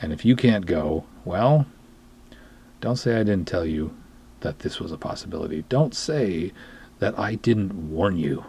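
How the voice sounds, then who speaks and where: American guy doing a podcast promo, deep-ish voice, deliberate and tongue-in-cheek, music under him. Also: animated, darkish tone, slight background noise. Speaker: podcast host, film writer-director. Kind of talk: promotional podcast monologue to listeners.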